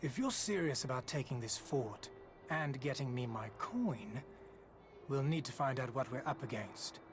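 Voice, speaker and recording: A man's voice: medium pitch, lively intonation, moderate pace, natural voice. An actor playing a shrewd, hardened male mercenary leader in a dramatic video game, wry and assertive. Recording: clear music behind.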